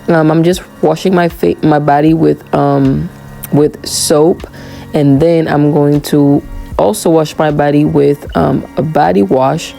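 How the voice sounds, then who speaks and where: A woman vlogger talks through her morning routine in a voiceover. Her voice is hoarse from a cold and sits low with a gravelly edge. Soft music plays faintly behind her. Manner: relaxed, chatty, many "um" fillers.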